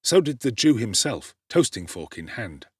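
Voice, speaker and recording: A man's voice: medium pitch, very animated, normal speed, husky, dry recording, clean studio sound. A man giving a dramatic narration of a classic novel as an audiobook actor.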